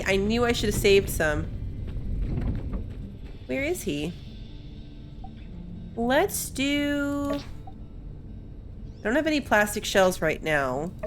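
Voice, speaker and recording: Female let's play host, relaxed slow pace with lively intonation, casually talking through gameplay over music. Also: medium pitch. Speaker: gaming video host. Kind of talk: casual let's play commentary, thinking aloud. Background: game music.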